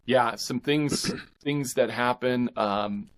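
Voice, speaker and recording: A man speaking as an author guest in a casual interview, with halting, thoughtful phrasing. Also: medium pitch.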